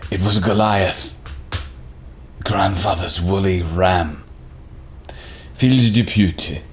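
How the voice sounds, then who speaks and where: A man's voice: deep voice, extremely slow, a little hiss or hum. An actor reading a suave, crude Frenchman in a screenplay table read, with a smug, teasing delivery.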